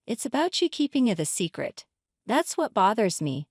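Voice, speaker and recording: Female narrator as hurt, indignant wife, pleading yet confrontational, animated intonation, dry story recording. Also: on the high side, moderate pace, dry recording. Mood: hurt, exasperated. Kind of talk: dramatized story reading.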